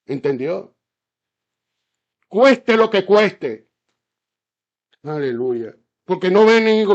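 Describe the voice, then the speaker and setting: A man preaching a fiery sermon, often stopping to ask whether his listeners understand, with stammered restarts and repeated words. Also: sits high. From Caribbean island. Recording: slightly dull, lo-fi sound.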